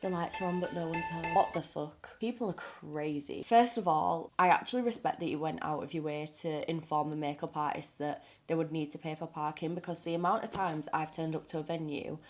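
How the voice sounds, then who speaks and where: A woman's voice: low-pitched, fairly even intonation, a little fast, hardly any pauses, soft background music. A woman, a makeup artist, chatting in a casual reaction vlog, blunt and outspoken, sounding nasal from a cold.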